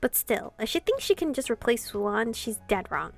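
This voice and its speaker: Woman, higher voice, game streamer, reading dialogue aloud, casual expressive commentary, faint music behind. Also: normal speed, creaky.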